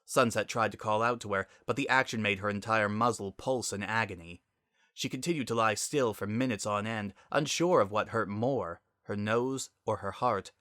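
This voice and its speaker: Bright-voiced man narrating a story. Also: lowish voice, moderate pace, dry recording, studio quality.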